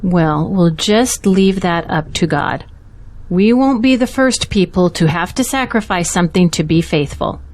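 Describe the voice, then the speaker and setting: A woman's voice: on the low side, lively intonation, measured pace, darkish tone. A woman acting as a devout, supportive confidante of a pastor in an audio drama, speaking earnestly and reassuringly. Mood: steadfast, reassuring.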